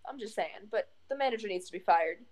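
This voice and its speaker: A woman's voice: medium pitch, lively intonation, fast, darkish tone. A young woman co-hosting a casual podcast, ranting bluntly with frequent restarts. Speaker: podcast co-host. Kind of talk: casual chat.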